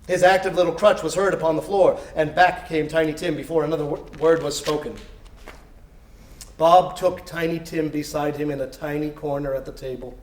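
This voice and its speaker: A man giving an expressive, theatrical reading of a story, with deliberate phrasing. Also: on the high side, recorded from a distance. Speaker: dramatic reader. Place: indoor live reading before an audience. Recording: roomy live recital sound.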